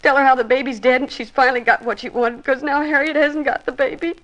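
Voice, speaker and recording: An actress playing an anguished, bitter sister in a 1950s radio drama, emotional and accusing. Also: female voice, sits high, steady tone, quick pace, a bit bright, low-quality recording. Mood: bitter, breaking down.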